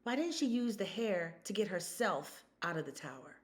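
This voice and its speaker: A woman's voice: lowish voice, big pitch swings, normal speed. Emphatic middle-aged woman guest, tells stories, asks rhetorical questions, chatty. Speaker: guest, consultant. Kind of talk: podcast conversation.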